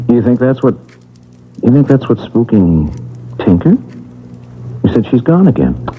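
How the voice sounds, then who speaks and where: An actor playing a skeptical, exasperated husband in a 1970s radio drama, emphatic and incredulous. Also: male voice, mid-range voice, big pitch swings, slow, slight background noise. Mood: puzzled, skeptical. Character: skeptical, exasperated husband, practical commercial artist. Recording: tinny vintage radio recording.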